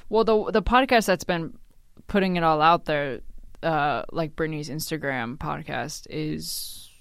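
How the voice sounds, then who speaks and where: A woman co-hosting a casual, jokey pop-culture podcast, swearing freely and breaking into quick asides. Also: low voice, smooth, no echo. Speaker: podcast co-host. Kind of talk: casual comedic chat.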